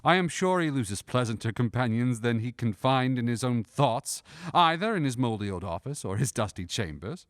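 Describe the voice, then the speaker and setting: A man playing a cheerful, good-hearted young gentleman in a radio drama, jovial and earnest. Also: medium pitch, animated, normal speed, few pauses, dry recording, clean studio sound, natural voice. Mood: good-humoured, earnest. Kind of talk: stage-style radio drama acting.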